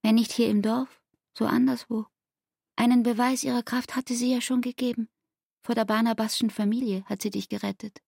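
A young barmaid in love, wounded and earnest, in a literary radio drama. Her voice is breathy and gravelly at the edges, set fairly high. She speaks with hushed intensity and measured phrasing, in a very dry studio sound. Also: little pitch movement, no echo. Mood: pleading, devoted.

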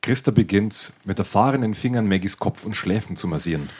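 Man, deep voice, scripted stage-direction reading, faint background noise. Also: expressive, a little fast, darkish tone.

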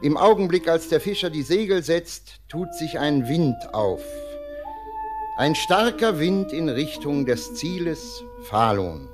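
Lively male radio play narrator. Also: a little high. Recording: narration over music.

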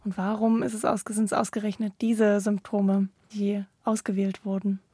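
A woman's voice: medium pitch, little pitch movement, moderate pace, warm tone, breathy edge, dry recording. A woman hosting a cultural radio interview, putting questions to her guests. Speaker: interview host.

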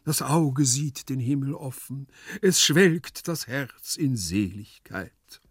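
A man's voice: medium pitch, unhurried, a little mellow, husky, no room sound, studio quality. A man voicing a corpulent, lovesick storybook nobleman who sighs and declaims with theatrical sentimentality. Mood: swooning. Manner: pathetic declamation, sighing, swooning.

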